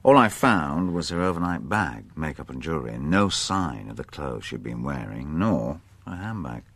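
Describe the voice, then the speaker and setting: A seasoned, wry detective in a radio drama. His voice is very low and husky with a gravelly creak, and he muses conversationally. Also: sing-song, normal speed, a bit bright, dry recording, heavily acted. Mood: brisk, pondering. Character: seasoned, wry, musing male police detective.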